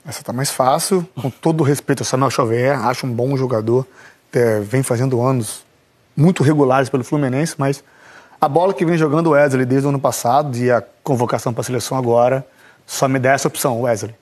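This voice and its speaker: Husky Brazilian man in his thirties. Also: mid-range voice, steady tone, brisk, a little mellow. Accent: Brazilian Portuguese. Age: 30s. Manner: emphatic, repeats his points. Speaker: football pundit.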